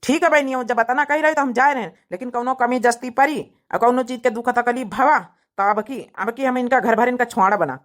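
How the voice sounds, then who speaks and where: Cartoon brother , voiced by a woman: Awadhi accent, high pitch, protective, hot-tempered, bluntly scolding. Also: brisk, close to an everyday voice. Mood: angry, offended. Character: angry, protective brother of a married sister. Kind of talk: cartoon family drama dialogue.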